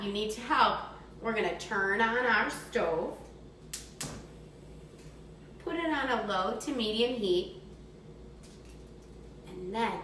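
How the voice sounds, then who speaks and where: A woman's voice: mid-range voice, bright, forceful tone. A woman giving a step-by-step cooking demonstration for young children, talking in a simple, encouraging, instructional way. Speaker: teacher demonstrating a recipe for children. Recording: distant mic, echoey room, faint noise.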